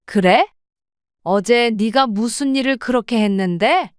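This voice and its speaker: Woman playing a reproachful older mother, firm and proper, somewhat high, smooth clear tone, dry close sound. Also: unhurried, no room sound, close to an everyday voice. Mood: wary. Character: mother in her seventies, stern and proper. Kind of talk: audio drama dialogue.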